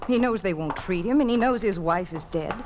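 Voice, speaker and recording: A woman's voice: lowish voice, lively intonation, brisk, close to an everyday voice. A woman playing a loyal, compassionate nurse in a 1950s radio drama, earnest and anxious in manner. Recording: noisy old 1950s radio recording.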